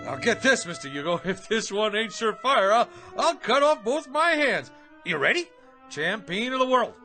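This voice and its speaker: An actor playing an eager, pushy hack writer in a radio drama, stammering and excitable, full of streetwise slang. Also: male voice, high voice, normal speed, music in the background.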